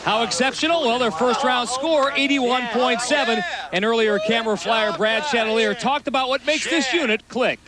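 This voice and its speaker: A man's voice: very high voice, normal speed, over a noisy crowd. A man announcing play-by-play for a sports broadcast in a dramatic commentary style. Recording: clear background noise, outdoor ambience.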